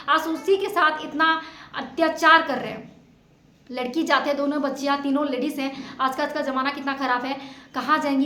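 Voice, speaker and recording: A woman's voice: high voice, fairly bright tone, a little hiss or hum. A woman giving informal opinion commentary to viewers in an emphatic, indignant way, often posing rhetorical questions. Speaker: vlogger commenting on a family dispute. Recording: echoey untreated room sound.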